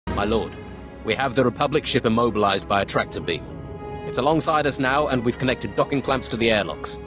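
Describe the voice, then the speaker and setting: A man's voice: medium pitch, fairly quick, music in the background, close to an everyday voice. A man playing a dutiful junior imperial officer in a video game, reporting in a deferential military manner. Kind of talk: video game cutscene acting.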